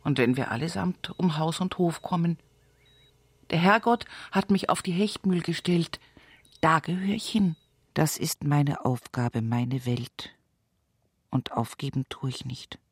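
An actress playing an earthy, stubborn, devout widow from the village in an intimate radio monologue, with a husky, breathy voice and an Upper Palatinate Bavarian accent. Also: female voice, low voice, expressive, measured pace, dry recording, heavily acted. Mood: defiant. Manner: earthy, folksy storytelling at a bedside. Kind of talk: intimate radio drama monologue in rural vernacular.

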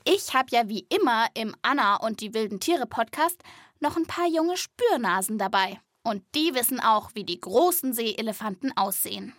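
A woman's voice: on the high side, lively intonation, moderate pace, fairly bright tone, no room sound, studio quality. A woman hosting a children's podcast, explaining things in playful, colloquial scripted narration.

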